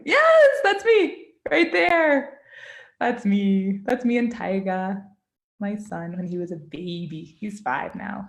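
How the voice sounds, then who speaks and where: A woman children's book author talking casually and interactively to children on a video call, expressive and encouraging, often asking questions. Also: medium pitch, creaky. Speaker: children's book author giving a read-aloud.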